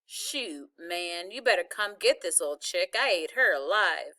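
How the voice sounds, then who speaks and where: A woman reading a story, voicing a cocky teenage boy who talks cool and low out of the side of his mouth. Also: sing-song, a little fast, a bit bright, no echo, clean studio sound. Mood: cool bragging.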